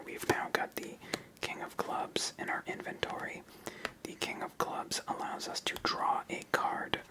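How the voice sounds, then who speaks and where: A person whispering gentle step-by-step ASMR commentary while playing a solitaire card game as host. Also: rough recording.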